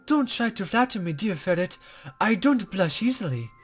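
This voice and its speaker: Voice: medium pitch, very animated, moderate pace, slightly rough, soft background music. A player voicing a serene, coy female spirit guide from a visual novel, speaking in formal, teasing riddles. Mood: playfully coy. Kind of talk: visual novel character read-aloud.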